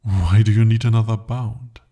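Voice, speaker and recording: A man's voice: fairly low pitch, fairly even intonation, dark tone, close to an everyday voice. A man voicing a devoted, possessive male demon in an ASMR roleplay, speaking formally and intimately. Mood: jealous intense. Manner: formal, intimate, slow-spoken address.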